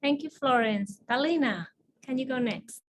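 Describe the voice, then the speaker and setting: A woman from Spain with a Spanish accent, moderating an online panel as an assistant dean, talking quickly with frequent fillers and restarts. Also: high-pitched. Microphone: video call.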